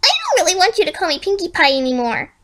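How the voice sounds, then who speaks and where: A woman's voice: very high voice, wildly swooping pitch, quick pace, fairly bright tone, voice pushed up, exaggerated voice. A young-sounding woman voicing a manic, cheerfully sinister pink pony villain in a storybook reading, chatting with menacing casualness. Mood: chirpy, sly. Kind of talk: amateur storybook fan fiction reading.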